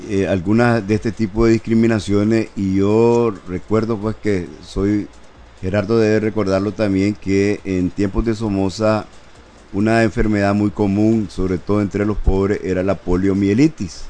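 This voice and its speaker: Older Nicaraguan male panelist, lowish voice, talks in a halting, reminiscing way. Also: flat delivery, warm tone. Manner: reminiscing, many fillers and "¿verdad?". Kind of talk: radio panel discussion.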